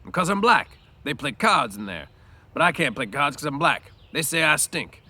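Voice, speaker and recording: A man's voice: on the high side, big pitch swings, normal speed, bright, forceful tone, pitched above the performer's own voice, full character voice. A man voicing a proud, aloof stable hand, bitter and defensive, with complaining rural speech in a storybook reading. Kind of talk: storybook read-aloud character voice.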